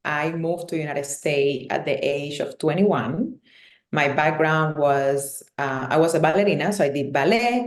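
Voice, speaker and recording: A woman's voice: deep voice, roomy sound. A woman from Cuba with a Cuban Spanish accent, speaking candidly as a podcast guest and often laughing. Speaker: guest, hairstylist and educator. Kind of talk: casual podcast interview.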